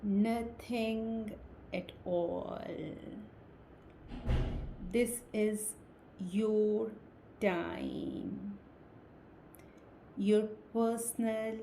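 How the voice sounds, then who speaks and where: Woman, hypnotist, extremely slow pacing, soft dark tone, soothing short phrases, faint background noise. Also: mid-range voice, fairly even intonation, gravelly. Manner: soothing, deliberate short phrases with long pauses. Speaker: hypnotist, guided meditation guide. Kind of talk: slow guided meditation, hypnosis induction.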